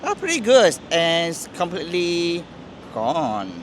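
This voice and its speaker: A man's voice: on the high side, wildly swooping pitch, unhurried. A man from Malaysia chatting casually as a street interviewee, off-mic over some street noise. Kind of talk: casual chat.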